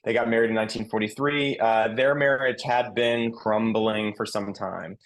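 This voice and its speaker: A man's voice: fairly low pitch, steady tone, normal speed, low-quality recording. A man on a podcast panel giving conversational, explanatory commentary.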